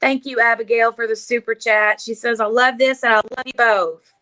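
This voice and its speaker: A woman's voice: fairly high pitch, flat delivery, moderate pace, bright, forceful tone, rough recording. A woman hosting a casual livestream from home, chatting and laughing and reading viewer comments aloud. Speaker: livestream host. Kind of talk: casual live chat.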